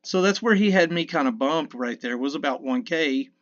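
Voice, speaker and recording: A man's voice: lively intonation, normal speed, muffled recording. Folksy man explaining his mixer. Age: 50s. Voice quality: low mid voice. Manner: rambling, self-correcting, folksy. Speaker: sound engineer teaching mixing. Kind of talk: casual screen-recorded tutorial. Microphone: dynamic vocal mic.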